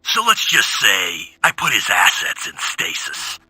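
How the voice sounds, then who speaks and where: Forceful, husky grumbling robot soldier. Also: male voice, fairly low pitch, huge pitch swings, unhurried, dry recording, heavily acted, poor audio. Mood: disgruntled. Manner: gruff, wry, complaining. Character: grumbling, sardonic male robot soldier giving war reports. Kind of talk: video game audio log acting.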